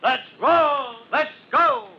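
Very high, urgent radio-drama fireman. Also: sing-song, drawn-out words, gravelly, heavily acted. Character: urgent, dutiful fireman shouting at a fire scene. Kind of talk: old radio drama acting. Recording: muffled, noisy old radio recording with crowded ambience.

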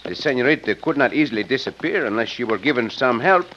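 An actor playing a confident, courteous Mexican cowboy adventurer with an accent and formal speech in an old radio western. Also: male voice, mid-range voice, expressive, quick pace, fairly bright tone, natural voice. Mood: explaining. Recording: dull, noisy old radio recording.

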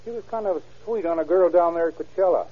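An inquisitive, folksy American sidekick to a lawman in vintage radio style. His voice sits fairly high with a husky edge, on a muffled old recording. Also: fast. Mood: speculating. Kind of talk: old-time American radio drama acting.

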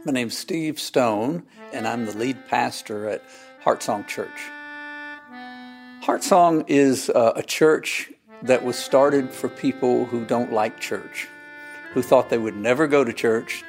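A man's voice: mid-range voice, takes it slowly, gravelly, a little mellow, soft background music. A man who is a pastor, speaking in a folksy, humorous way in an interview.

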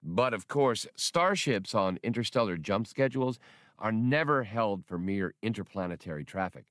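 A man's voice: on the low side, lively intonation, normal speed, fairly bright tone, no room sound, clean studio sound. A man reading a scripted work of fiction as an audiobook narrator.

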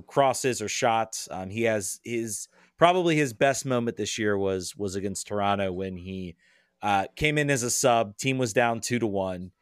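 A man giving casual soccer analysis as a podcast guest, halting with many pauses and restarts. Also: lowish voice, clean tone, no room sound, clean studio sound.

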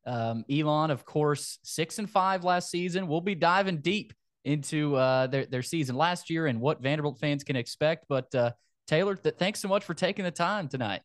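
Man, sports show host, casual talk, slightly high pitch, dry recording. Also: normal speed, no room sound.

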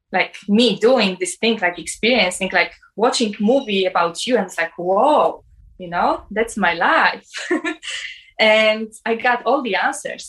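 A woman's voice: mid-range voice, a bit bright, rough recording. Young woman, personal testimony, hesitant, filler-heavy. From Poland. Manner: halting, many "like" fillers.

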